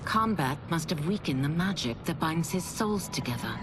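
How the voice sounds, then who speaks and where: An actress playing a defiant young woman warrior who speaks firmly, over loud game music. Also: deep voice, expressive, moderate pace, noisy background. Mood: resolute. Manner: firm, defiant.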